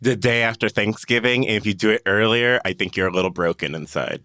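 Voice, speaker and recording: A man's voice: on the low side, brisk, pushed and bright, dry recording, studio quality. A man chatting casually as a guest on a podcast panel. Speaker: podcast guest, newsroom coordinator.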